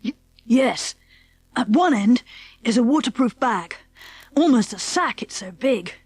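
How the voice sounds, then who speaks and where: A woman voicing a confident, eager schoolboy adventurer with an English accent and hearty, brisk diction for a children's audiobook. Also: on the high side, lively intonation, darkish tone, slightly rough, no echo. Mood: excited, businesslike. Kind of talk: children's audiobook character acting.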